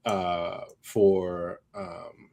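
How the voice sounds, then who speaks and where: A man with a fairly low voice talks casually as a podcast co-host. He feels his way through sentences in a loose, slangy manner, often circling back as he goes. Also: flat delivery, very slow, a little mellow. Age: adult, parent of young child. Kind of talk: casual chat.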